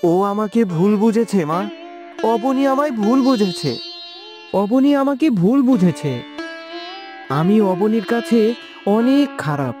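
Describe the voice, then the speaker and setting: A man's voice: sits high, expressive, measured pace, pauses often, over music. A man playing a devoted, wounded young man in a pleading, melodramatic cartoon style. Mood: hurt, despairing. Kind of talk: dramatic cartoon dubbing.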